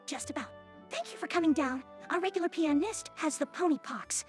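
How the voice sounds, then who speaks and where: A woman's synthetic voice acting a brisk pony nurse from a cartoon audio drama. She is quite high in pitch and politely announces things, with music underneath. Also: lightly acted. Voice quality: synthetic text-to-speech voice. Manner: courteous, announcing.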